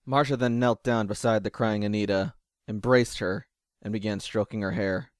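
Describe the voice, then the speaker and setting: A man's voice: lowish voice, fairly even intonation, normal speed, clean tone, dry recording, studio quality. A man reading scripted third-person story narration as the narrator of an audio drama.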